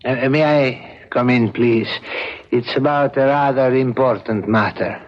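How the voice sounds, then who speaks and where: An actor playing a courteous, secretive gentleman portrait artist, formal, halting and ingratiating, in vintage radio drama style. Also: medium pitch, natural voice. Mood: politely insistent. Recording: dull old radio broadcast recording.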